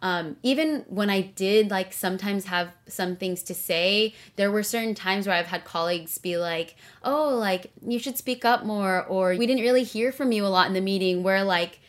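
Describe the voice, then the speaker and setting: Young woman, mid pitch, chatty and earnest, filler-heavy guest interview delivery. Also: normal speed, hardly any pauses. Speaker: podcast guest. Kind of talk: casual interview conversation.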